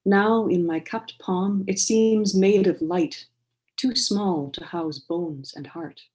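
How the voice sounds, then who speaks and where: A Canadian woman poet talking over a video call, measured and thoughtful, with many pauses and restarts. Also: medium pitch, a little mellow. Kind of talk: online book launch conversation and poetry reading.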